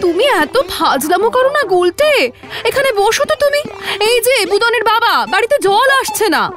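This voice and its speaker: A woman voicing a bossy, greedy, excitable mother in a comedy cartoon. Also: very high voice, normal speed. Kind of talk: Bengali comedy cartoon acting. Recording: music behind the voice.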